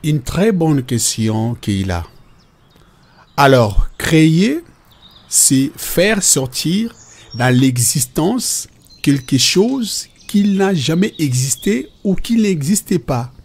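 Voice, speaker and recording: Husky man playing a patient cartoon father. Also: fairly high pitch, very animated, slow pace, exaggerated voice. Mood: patient, instructive. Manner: didactic, explains definitions step by step. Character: patient, instructive father explaining nature and God to children.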